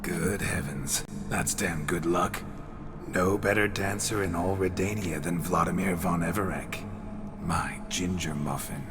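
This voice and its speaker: A gruff, gravel-throated monster hunter in a fantasy video game, speaking very low. Music plays clearly behind him. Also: male voice, steady tone, measured pace. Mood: roguish, flirtatious. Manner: terse and weary, turning florid and flirtatious when possessed. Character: gruff monster hunter, at times possessed by a flirtatious ghost.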